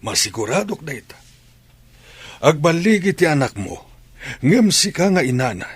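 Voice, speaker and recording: A man's voice: a little high, big pitch swings, moderate pace, husky, no echo. A man playing a stern but forgiving family man in a radio drama, earnest and admonishing, with a Filipino accent. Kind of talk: Ilocano radio drama acting.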